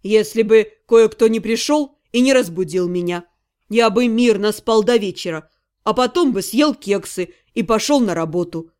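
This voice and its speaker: A woman's voice: mid-range voice, expressive, normal speed, a little mellow, voice pushed down, close to an everyday voice. A woman voicing a sleepy, grumpy young male necromancer who grumbles and teases. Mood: grumbling. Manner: grumbling, drowsy, teasing.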